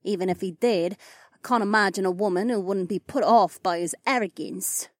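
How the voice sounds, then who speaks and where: A woman's voice: mid-range voice, normal speed, dry recording, studio quality, close to an everyday voice. A woman voicing a proud, wounded elf noblewoman and sword warrior in an audiobook. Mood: scornful. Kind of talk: audiobook character dialogue.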